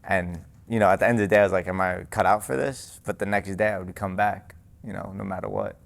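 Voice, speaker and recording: Young new boxer guy, deep gravelly voice, pretty flat, lots of "you know". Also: fast. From New York. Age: young adult. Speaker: guest, amateur boxer. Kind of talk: casual interview. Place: boxing gym.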